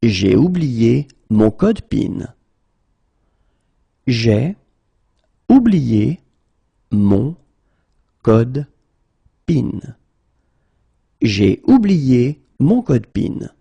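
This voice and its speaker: A man teaching French in a pronunciation drill, slowly repeating each phrase and then saying it word by word. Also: mid-range voice, sing-song, creaky, dark tone, slightly rough, no echo, muffled recording.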